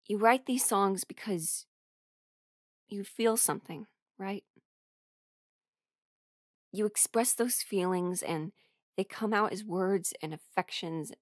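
A woman's voice: mid-range voice, a bit bright, no echo, studio quality. A woman acting in an audio roleplay as a defensive, emotional musician rejecting her bandmate's love. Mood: pained, resigned. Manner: emotional, exasperated, swearing. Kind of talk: audio roleplay drama monologue.

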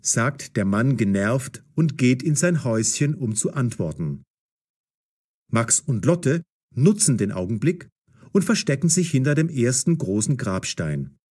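Animated, slightly husky male narrator. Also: medium pitch. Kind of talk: scripted story narration.

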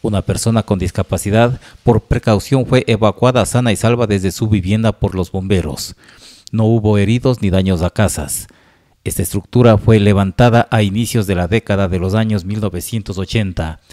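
A man's voice: fairly low pitch, normal speed, darkish tone. A man reading a formal, scripted news report as a reporter.

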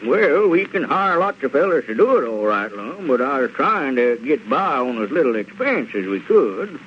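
An actor playing a coaxing, smooth-talking rural circus manager with a Southern US accent, in old radio comedy style. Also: male voice, medium pitch, very animated, natural voice. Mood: reluctant, scheming. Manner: folksy, persuasive, halting with "well, now". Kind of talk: 1930s-40s radio comedy acting. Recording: muffled, noisy vintage radio recording.

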